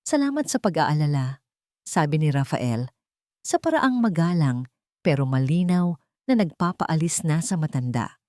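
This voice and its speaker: A woman's voice: deep voice, animated, unhurried, dark tone, dry recording, clean studio sound. A woman with a Filipino accent reading scripted, dramatic story narration.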